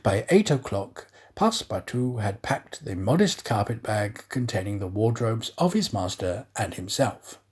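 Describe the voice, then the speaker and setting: A man reading a story aloud as a scripted narrator, with deliberate, theatrical phrasing. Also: fairly low pitch, very animated, a little mellow, husky. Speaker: storyteller, professional actor.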